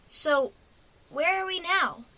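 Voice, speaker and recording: Woman playing a timid, high-voiced unicorn stowaway. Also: animated, takes it slowly, bright, forceful tone, no room sound, heavily acted, poor audio. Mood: meek, questioning. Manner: hesitant, stammering. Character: timid, frightened young female unicorn stowaway.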